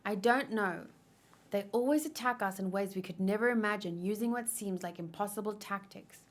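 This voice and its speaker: A woman's voice: medium pitch, normal speed, slight background noise, close to an everyday voice. A woman reading manga aloud as an anxious, brainy young male soldier, an earnest and unsure leader. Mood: worried.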